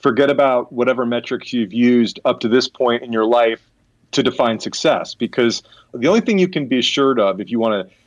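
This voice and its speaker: Man, podcast host, mid-range pitch, dry studio-like sound, casual conversational interviewing. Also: fairly quick, dry recording, muffled recording.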